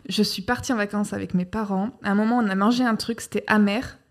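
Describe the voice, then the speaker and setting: A woman's voice: mid-range voice, steady tone, quick pace. A young woman casually explaining an artwork in a chatty way, with frequent filler hesitations. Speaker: YouTube art explainer. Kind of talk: casual educational video narration.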